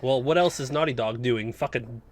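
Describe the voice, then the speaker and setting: A man's voice: medium pitch, moderate pace, over a noisy crowd. A man hosting a podcast in casual, opinionated chat, making his points bluntly and with emphasis. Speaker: podcast host.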